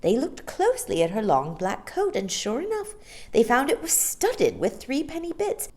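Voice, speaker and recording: A woman reading a storybook aloud in a theatrical, deliberate, expressive narrating style. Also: on the high side. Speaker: storytime reader.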